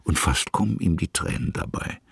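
A man with a gravelly, breathy voice, narrating a literary radio play in a musing, halting manner that sometimes sinks to a hush. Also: no echo. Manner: musing, halting, hushed at times.

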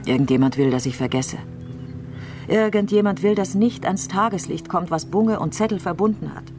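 An actress playing a troubled, brooding politician in a crime radio play, speaking reflectively and intensely, sometimes halting. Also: female voice, on the low side, music in the background, natural voice. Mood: bitter, pensive. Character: troubled, brooding female politician doubting the official truth. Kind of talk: dramatic radio play acting.

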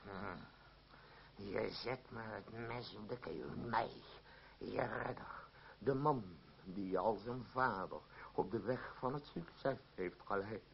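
An actor playing a pompous, easily swayed old theatre troupe leader in a radio play, theatrical, flustered and stammering. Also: male voice, lowish voice, takes it slowly, creaky, a little hiss or hum. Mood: reluctant. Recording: muffled, dull old radio play recording.

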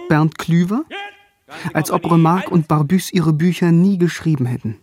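A man's voice: a little high, normal speed, a little mellow, close to an everyday voice. A man playing a wry, bookish young man who narrates his youth in a radio drama, articulate and self-ironic. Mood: indignant irony. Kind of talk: scripted first-person radio drama narration. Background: music.

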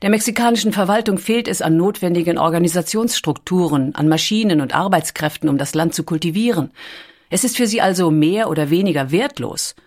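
A woman reading a scripted documentary story with measured, deliberate phrasing. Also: fairly low pitch, darkish tone. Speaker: audiobook narrator.

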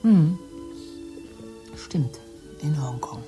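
Low-voiced, wry hungover wife. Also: female voice, lively intonation, very slow, warm tone, soft background music, full character voice. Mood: dry, irritated. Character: wry, hungover wife teasing her anxious husband. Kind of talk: naturalistic German radio play dialogue.

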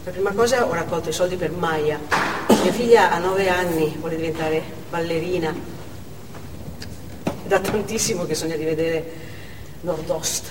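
A woman playing a devoted, excitable working mother in a chatty theatrical monologue. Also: lowish voice, moderate pace, long pauses, lightly acted. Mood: chatty, fond. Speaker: stage actor. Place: indoor theatre stage, recorded from afar. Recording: distant, echoey hall with noticeable noise.

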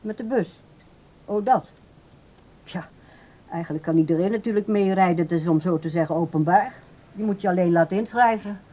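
An actress playing a controlling grown daughter in a radio drama, speaking in a brisk, formal, placating way. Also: female voice, on the low side, mellow, highs cut off, lightly acted. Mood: politely evasive. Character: polite but evasive, controlling adult daughter.

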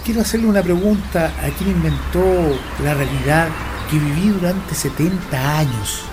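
A woman's voice: sits low, normal speed, music in the background, lightly acted. A woman voicing a man in his seventies with memory loss, anxious and disoriented, in a community radio drama. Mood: bewildered. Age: 70s.

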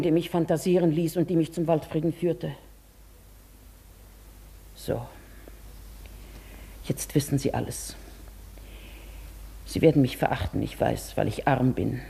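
An aged widow of a radio play, proud in her poverty and full of wistfulness. Her mellow voice is husky and crackles with fry. She chooses her words formally and with measured care. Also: sits low, exaggerated voice. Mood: ashamed, resigned. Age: older. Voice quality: husky, creaky. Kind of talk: German radio drama acting.

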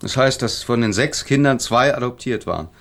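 A man's voice: medium pitch, expressive, moderate pace, a bit bright. An actor playing a sceptical, impatient man in the legal trade in a radio drama, casual and sardonic. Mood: matter-of-fact. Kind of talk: German radio drama acting, conversational.

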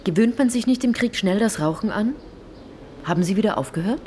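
An actress playing a wry, probing narrator and investigator in a radio drama, speaking in a dry, insistent way. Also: female voice, mid-range voice, animated, brisk, mellow, slight background noise, close to an everyday voice. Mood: curious, probing.

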